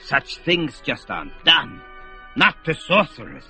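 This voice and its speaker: A man's voice: on the high side, very animated, measured pace, sharp tone, exaggerated voice. A man playing an aged, proud sorcerer in an old radio drama, rambling and quavering, with music playing behind. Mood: worried. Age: older. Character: aged male sorcerer, fretful, proud, feuding with his son.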